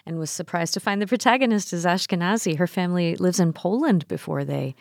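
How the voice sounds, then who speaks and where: A woman hosting a radio interview who asks questions in a gentle, conversational way. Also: on the low side, brisk, creaky, no echo, clean studio sound.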